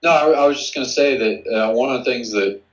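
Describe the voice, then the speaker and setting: A man who is a guest researcher on an interview podcast, speaking in a measured way with frequent restarts. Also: medium pitch, roomy sound, muffled recording. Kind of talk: conversational interview.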